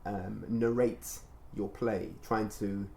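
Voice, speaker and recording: A man with a low voice speaks as a mentor in an informal recorded conversation. He explains things in a measured, reflective manner. He is encouraging as he goes. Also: steady tone, gravelly, dark tone. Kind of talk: informal testimonial conversation with a child.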